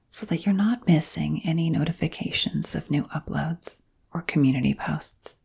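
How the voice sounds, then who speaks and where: A woman hypnotherapist guiding listeners into sleep hypnosis in a soft, breathy whisper, with soothing phrasing and gentle pauses. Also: deep voice. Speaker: hypnotherapist and channel host.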